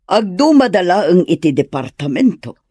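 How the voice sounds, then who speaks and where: Radio drama role: ailing, meddling Ilocano mother in later years, acted by a woman, very expressive intonation, dry studio sound. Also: lowish voice, normal speed, breathy edge, dry recording. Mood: coaxing, teasing. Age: older. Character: ailing, meddling older mother, coaxing and matchmaking.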